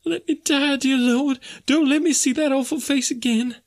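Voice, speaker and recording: Shrill, hysterical maidservant. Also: male voice, slow, exaggerated voice. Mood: terrified wailing. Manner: wailing, exclaiming, folksy dialect. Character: hysterical, terrified maidservant, swooning and wailing.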